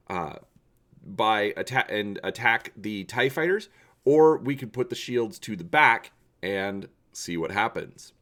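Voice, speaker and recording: A man hosting and narrating a storybook read-through, speaking with expressive, emphatic presenter delivery. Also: lowish voice. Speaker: channel host and story reader.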